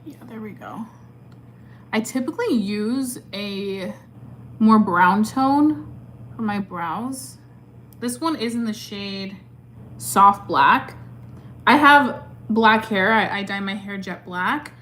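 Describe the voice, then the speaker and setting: A woman's voice: medium pitch, slow pace, pauses often, a bit bright. A chatty woman in her twenties with a mid-range voice, talking through her makeup routine and answering viewers. She is upbeat and easygoing, full of "like" and "um", with a faint hum behind her. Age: 20s. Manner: chatty and upbeat, filler-heavy with many "like" and "um". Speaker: beauty creator answering live chat. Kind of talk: casual chat while doing makeup.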